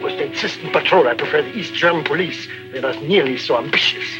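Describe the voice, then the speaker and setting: An actor playing a jovial but fatalistic Eastern European guide, wry and theatrical, in an early 1950s spy drama. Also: male voice, a little high, fast, natural voice. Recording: dull old 1950s soundtrack with music.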